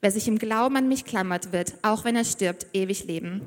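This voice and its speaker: A woman voicing Jesus as a calm man teaching with authority, in a read-aloud Bible story. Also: medium pitch, fairly quick, lightly acted. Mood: reassuring. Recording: reverberant church hall.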